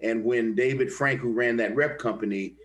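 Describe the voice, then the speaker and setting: A man's voice: mid-range voice, fairly even intonation, moderate pace. An older actor from the Kansas City area speaks in an easygoing interview and tells his stories. He often stops and restarts his sentences, repeating words as he gathers his thoughts. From Kansas City area, USA. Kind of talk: conversational interview, storytelling.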